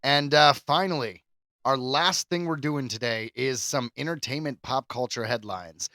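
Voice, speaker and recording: A man's voice: mid-range voice, unhurried, bright, forceful tone, dry recording, studio quality. A man co-hosting a podcast in a casual, chatty style. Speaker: podcast co-host. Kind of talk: casual pop culture chat.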